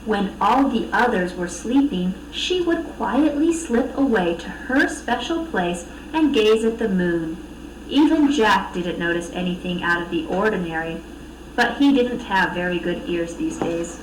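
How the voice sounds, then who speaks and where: A woman reading a children's storybook aloud with expressive narration. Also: medium pitch, a little slow, distant mic. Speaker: storybook reader. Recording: echoey room, off mic, faint noise.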